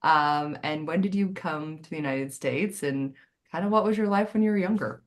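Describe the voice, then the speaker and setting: Woman, interview host, fairly low voice, encouraging, casual. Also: normal speed. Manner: encouraging, often ending with "right?".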